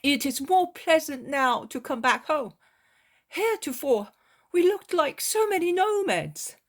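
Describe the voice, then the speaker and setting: A woman voicing an excitable male fisherman who talks out of breath and with urgency. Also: high voice, a little slow, pitched above the performer's own voice. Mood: eager. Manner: urgent, agitated.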